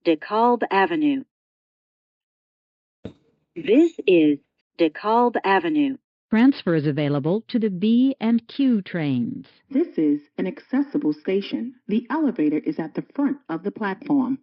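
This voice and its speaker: Female subway announcer, lowish voice with swooping intonation, clipped segmented phrasing, dry lo-fi recording. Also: no room sound. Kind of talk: scripted automated transit announcement. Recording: dull lo-fi transit announcement audio.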